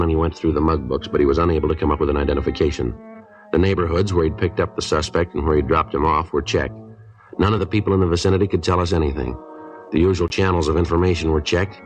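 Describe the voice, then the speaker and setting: Stoic police detective, American, deep pitch, fast clipped delivery, deadpan, vintage radio drama. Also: male voice. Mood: matter-of-fact. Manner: deadpan, terse. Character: stoic, matter-of-fact male police detective. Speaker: actor narrating and playing the lead. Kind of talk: 1950s radio drama, clipped procedural narration. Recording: old radio recording, faint music.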